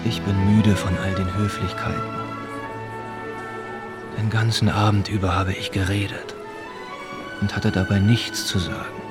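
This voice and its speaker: A man acting a tired young gentleman in a period radio drama, confiding tenderly. His voice is lowish and unhurried, with loud music playing behind it. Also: level tone, lightly acted. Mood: weary, tender. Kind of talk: German radio play acting.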